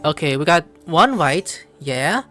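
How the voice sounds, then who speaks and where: Female gaming streamer: animated pitch, expressive, thinks aloud casually, faint game music behind. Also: measured pace. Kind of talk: casual let's-play commentary.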